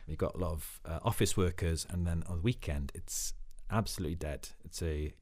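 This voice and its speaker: A man's voice: deep voice, a little fast, a little mellow. A man from Wales with a Welsh accent, a Welsh speaker, talking thoughtfully as an actor guest in an interview. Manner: thoughtful, reflective, with frequent fillers. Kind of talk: casual interview.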